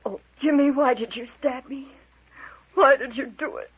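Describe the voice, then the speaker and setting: A woman's voice: high-pitched, normal speed, husky. A woman playing a wounded, distressed young woman in an old radio mystery, weak and reproachful. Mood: anguished. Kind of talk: 1940s radio mystery acting. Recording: muffled vintage radio drama recording.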